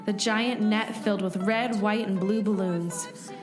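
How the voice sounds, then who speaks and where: A woman's voice: mid-range voice, fairly even intonation, measured pace, close to an everyday voice. A woman acting as a scatterbrained narrator on a secret mission in a radio play, chatty and comic. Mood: hushed storytelling. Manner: chatty, comic, self-interrupting. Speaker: narrator and lead actor. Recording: music behind the voice. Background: music.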